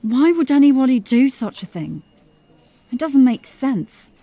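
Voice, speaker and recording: A woman's voice: high-pitched, very animated, measured pace, mellow, no room sound, heavily acted. A woman playing a dry, sceptical constable of a fantasy city watch in an audio drama. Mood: puzzled, probing. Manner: questioning, dry.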